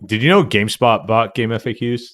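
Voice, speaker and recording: A man's voice: on the low side, fairly quick, a bit bright, a little hiss or hum. A man co-hosting a livestream, explaining things casually in a matter-of-fact way. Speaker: livestream co-host. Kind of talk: casual chat. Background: faint game music.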